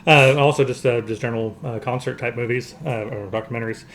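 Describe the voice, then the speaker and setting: Fast-talking man co-hosting a chat show. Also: medium pitch, fairly even intonation, a bit bright, slight background noise. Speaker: podcast co-host. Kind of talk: casual box office round-up chat.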